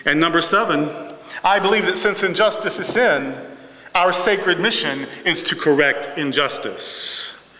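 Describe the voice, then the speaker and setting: A man's voice: fairly high pitch, measured pace, sharp tone. A middle-aged man preaching a sermon in a large church sanctuary, emphatic and rhythmic, building phrases through repetition. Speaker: pastor. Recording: echoey hall reverb.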